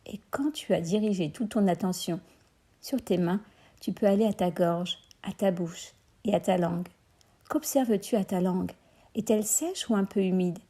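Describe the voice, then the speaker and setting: A woman leading a guided mindfulness meditation for children, speaking as a gentle, soothing instructor who gives deliberate prompts. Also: medium pitch, husky. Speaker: schoolteacher and children's yoga teacher.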